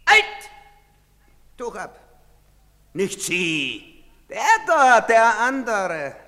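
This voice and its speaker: An actor playing a bullying officer who barks short, menacing orders in a theatrical radio drama. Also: male voice, high voice, big pitch swings, unhurried, pushed and bright, exaggerated voice. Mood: barking, menacing. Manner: barks short commands, sneering, intimidating. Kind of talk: theatrical stage-style radio drama acting. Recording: echoey hall, long reverb.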